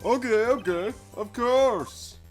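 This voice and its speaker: A woman's voice: fairly high pitch, a little mellow, soft background music. A woman voicing a boastful, easily flustered male witness in a courtroom game fan dub, pompous and prone to stammering. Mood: nervous, flustered. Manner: halting, stammering, pompous wording.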